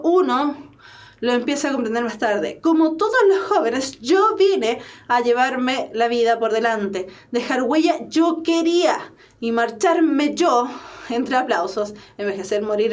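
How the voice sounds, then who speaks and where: A woman's voice: sits high, expressive, unhurried. A young woman teaching a literature class, explaining step by step and often checking in with her students. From Chile. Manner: explanatory, asks rhetorical "¿cierto?" often. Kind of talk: online exam-prep class.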